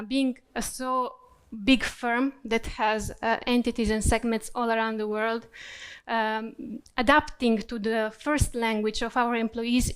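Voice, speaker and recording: A woman presents at a business conference. Her voice sits a little high and sounds clear and smooth. It is recorded close and dry, with almost no room sound, and she explains things step by step, pausing to find her words. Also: dry recording. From Croatia. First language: Croatian. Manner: steady, explanatory, searching for words. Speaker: corporate learning specialist presenting.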